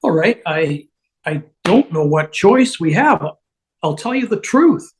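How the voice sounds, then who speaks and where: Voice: medium pitch, big pitch swings, takes it slowly. An amateur actor playing a nervous, stammering schemer in a TV comedy table read, improvising cover stories. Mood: nervous bluffing.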